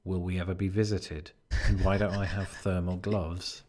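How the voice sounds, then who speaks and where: A man's voice: sits low, flat delivery, moderate pace, a little mellow. A man from Britain living in the American Midwest, narrating his vlog with dry, sardonic humor. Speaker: vlogger in the original video.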